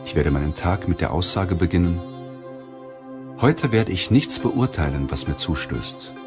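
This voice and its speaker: Low-pitched man narrating an audiobook. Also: lively intonation, a little slow, over music. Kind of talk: scripted audiobook narration of a self-help book.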